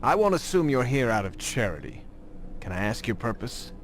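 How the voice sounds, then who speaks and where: Wary, animated resistance contact played by a man. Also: medium pitch, moderate pace, slight background noise, close to an everyday voice. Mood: guarded, businesslike. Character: wary, shrewd male rebel contact, helpful to assassins. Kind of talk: scripted game cutscene acting.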